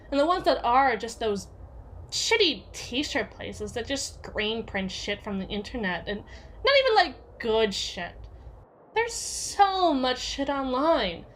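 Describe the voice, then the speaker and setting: A woman's voice: high voice, fairly bright tone, slight background noise, heavily acted. A woman playing a domineering, possessive, jealous young yandere in a scripted ASMR roleplay, with a sardonic, needling delivery. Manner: sardonic, foul-mouthed, needling, with hesitant trailing repeats.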